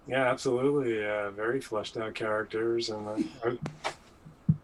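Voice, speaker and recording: A man chatting casually as a panel guest, making jokes in a deadpan way. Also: on the low side, a little slow, poor audio. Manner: deadpan joking. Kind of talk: casual chat.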